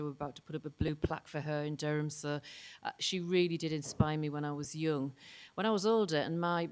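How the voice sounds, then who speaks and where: A woman panelist answering eagerly with personal anecdotes. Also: low-pitched.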